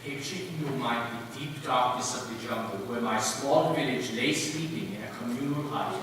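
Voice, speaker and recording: A man's voice: medium pitch, moderate pace, a bit bright, close to an everyday voice. A man playing an intense jungle warrior recalling a violent boyhood, declaimed in a readers' theater recital. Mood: grave. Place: large indoor recital hall, distant microphone. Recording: very echoey hall, distant, faint noise.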